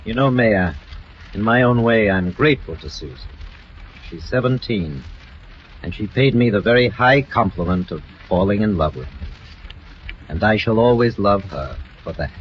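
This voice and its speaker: A man acts a vain, gracious gentleman film star in an old radio play. His mid-pitched voice is theatrical and mannered, swooping up and down, heard through a dull recording with faint noise. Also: lively intonation, moderate pace, lightly acted. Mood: tender. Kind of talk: 1940s radio acting. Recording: muffled noisy 1940s radio recording.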